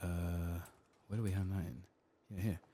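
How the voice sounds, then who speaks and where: Soft spoken asmr gamer guy, really deep mellow voice, murmuring to himself, bit of noise. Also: flat delivery. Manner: murmured, unhurried, musing to himself. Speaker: video game streamer. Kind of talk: soft-spoken ASMR game playthrough commentary, partly whispered.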